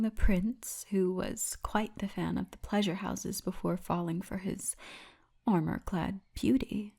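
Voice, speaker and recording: Woman playing a soft, mellow female orc guide. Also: mid-range voice. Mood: amused, gossipy. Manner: soft, intimate, unhurried storytelling, often breathy. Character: friendly, teasing female orc tour guide, gentle and candid. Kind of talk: ASMR roleplay, addressed to the listener.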